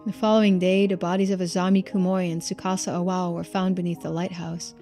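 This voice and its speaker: A woman's voice: lowish voice, level tone, brisk, mellow, soft background music. A woman game streamer reading out the text of a visual novel as narrator. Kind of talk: voiced read-through of visual novel dialogue.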